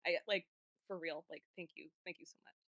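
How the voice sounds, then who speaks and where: A woman's voice: lowish voice, steady tone, a little fast, fairly bright tone, dry recording, studio quality. Earnest chatty woman voice actor. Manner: chatty, earnest, many fillers. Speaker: voice actor guest. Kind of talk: casual livestream Q&A.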